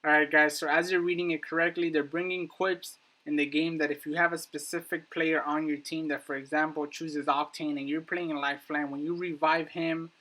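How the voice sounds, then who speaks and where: A man's voice: on the high side, little pitch movement, moderate pace, few pauses, sharp tone. A man giving casual, emphatic commentary on video game lore, addressing his viewers directly. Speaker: YouTube gaming content creator.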